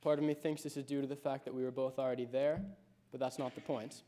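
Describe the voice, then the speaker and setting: A man's voice: medium pitch, level tone, warm tone. Reflective teenage boy reading a speech. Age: late teens. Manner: reflective, measured storytelling. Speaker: high school senior giving a speech. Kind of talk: prepared personal speech.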